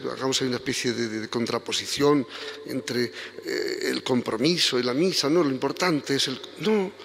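An older man with a Spanish accent from Spain preaches a homily in a big church, his voice gravelly and mid-pitched. He talks conversationally and then presses points with fervent emphasis. A long church reverb hangs behind every phrase. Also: fairly bright tone, a little hiss or hum. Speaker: priest. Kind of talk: homily at Mass. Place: large church, indoors. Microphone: stand microphone at lectern.

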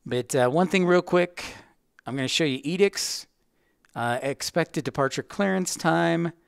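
A man's voice: fairly high pitch, measured pace, vocal fry, smooth, dry recording, clean studio sound. A man hosting a casual educational livestream, chatty and rambling as he talks directly to his viewers. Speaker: livestream host, aviation educator.

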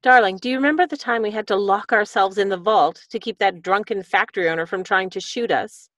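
An older woman acting in a radio drama as a smooth-talking Edwardian lady con artist, gushing and breezy. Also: medium pitch, fairly quick, fairly bright tone, dry recording, lightly acted. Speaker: radio play actor. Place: indoor room on a video call.

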